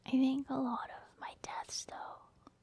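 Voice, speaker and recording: Female ASMR game commentator: high-pitched whisper, casual explanatory delivery. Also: monotone, slow, warm tone, breathy edge. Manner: casual, explanatory, thinking aloud. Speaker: gaming ASMR video creator.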